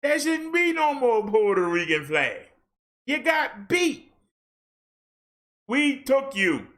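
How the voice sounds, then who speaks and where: A man vlogging a heated rant in casual colloquial speech, firing off rhetorical questions and at times shouting. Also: very high voice, big pitch swings, takes it slowly. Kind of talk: ranting social commentary.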